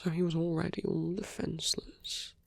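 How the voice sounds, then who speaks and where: A performer voicing a smug, flirtatious villain in an ASMR roleplay, teasing and intimate, with a breathy murmur that often slips into whispers. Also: medium pitch, flat delivery, normal speed. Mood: intimate, coaxing. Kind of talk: ASMR romantic roleplay audio drama.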